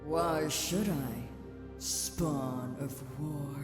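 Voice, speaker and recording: In a huge echoing space with music swelling behind, a proud goddess of love speaks. She is bitter and scornful, drawing out every phrase very slowly and grandly. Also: sits low. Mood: scornful, defiant. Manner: grand, theatrical, slow-spoken. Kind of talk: visual novel voice acting. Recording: cavernous echoing hall reverb under loud music.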